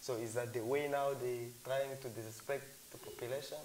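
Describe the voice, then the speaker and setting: A man's voice: mid-range voice, steady tone, a little fast, a little mellow, low-quality recording. A man speaks in a middle register, circling back over his points in an earnest, rambling way. A faint hiss sits under the recording. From Congo. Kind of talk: casual discussion. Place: Cape Town.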